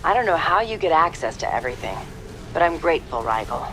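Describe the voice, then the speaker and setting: Dry female operative, varied pitch. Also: sing-song, quick pace, a bit bright, low-quality recording. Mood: guarded. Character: tough, wry young female covert-ops soldier. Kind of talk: video game cinematic voice acting. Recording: faint music behind.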